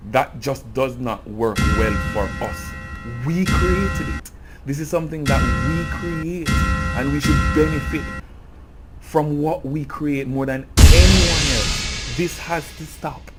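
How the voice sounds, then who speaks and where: A Jamaican man giving an earnest, impassioned cultural commentary in a video essay. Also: mid-range voice. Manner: earnest, grows impassioned and emphatic.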